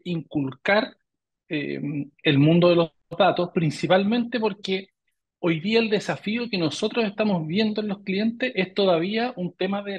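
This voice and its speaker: Chilean male guest, middle-aged, slightly high voice, measured and halting, restarts words often. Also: breathy edge, dull audio. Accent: Chilean Spanish. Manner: thoughtful, repeats words while searching for phrasing. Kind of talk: interview answers.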